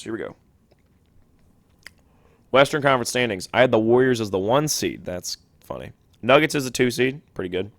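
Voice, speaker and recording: Young male podcast host, lowish voice, quick chatty delivery with self-corrections, dry close mic. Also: fairly bright tone, no room sound. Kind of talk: solo sports podcast talk.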